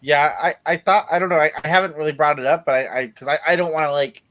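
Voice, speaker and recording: A man's voice: on the high side, quick pace. A man co-hosting a podcast, chatting casually and swearing freely. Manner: swears casually, relaxed rambling. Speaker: podcast co-host. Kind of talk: casual chat.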